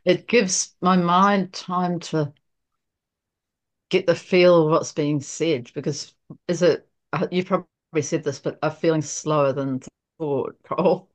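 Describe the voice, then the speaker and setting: Gentle, teasing woman in a chat. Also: deep voice, steady tone, a little slow. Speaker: reading group member. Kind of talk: casual group chat.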